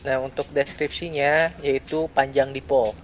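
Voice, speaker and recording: A young adult man gives a step-by-step software tutorial, speaking in short, halting phrases with pauses. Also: mid-range voice, level tone, low-quality recording. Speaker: student presenter giving a software tutorial. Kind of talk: step-by-step screen tutorial, unscripted. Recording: clear background noise.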